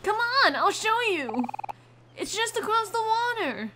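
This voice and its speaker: Woman as cheerful young boy game character, extremely high pitch, unhurried, squeaky, exuberant, strained. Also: very animated, background noise, full character voice. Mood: encouraging.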